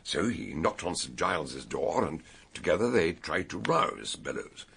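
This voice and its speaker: An actor playing a methodical British police investigator in a radio drama, explaining details with small hesitations, in a British accent. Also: male voice, rumbling low, very animated, a little slow, creaky, fairly bright tone, slight background noise, heavily acted. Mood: matter-of-fact. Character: police investigator, brisk, knowledgeable, methodical questioner.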